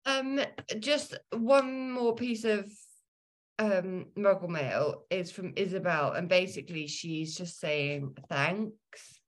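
A woman in her thirties with a slightly low voice, co-hosting a relaxed podcast. She reads listener mail aloud and chats with plenty of ums and likes. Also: slow pace. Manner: chatty, with frequent ums and likes. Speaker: podcast co-host. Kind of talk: casual chat, reading listener mail.

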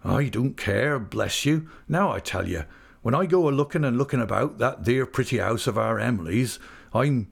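Man voicing a hearty, lively rustic old fisherman. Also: mid-range voice, moderate pace, vocal fry, slightly rough, voice pushed up. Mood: beaming affection. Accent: rural English dialect. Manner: jovial, earnest, homely speech.

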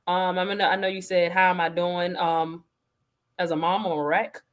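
Low-voiced woman, chatty and animated. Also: moderate pace. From Washington, D.C. area. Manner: animated, laughs often, many fillers and restarts. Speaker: guest, sports media personality. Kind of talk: casual interview conversation.

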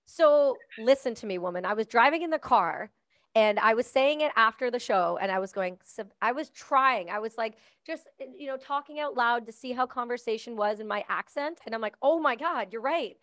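A woman podcast host with a Canadian accent, chatting casually and warmly praising her guest. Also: fairly high pitch, lively intonation, normal speed, no echo, clean studio sound. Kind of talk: casual interview chat.

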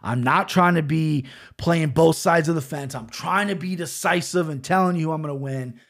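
A man's voice: fairly high pitch, moderate pace, fairly bright tone. A man breaking down fight betting lines in a casual way. He is brash and profane, and he rambles. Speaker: sports betting picks host.

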